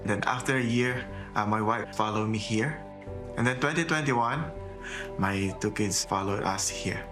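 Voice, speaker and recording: Earnest low-voiced man. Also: over music. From Philippines. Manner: earnest, simple halting phrasing.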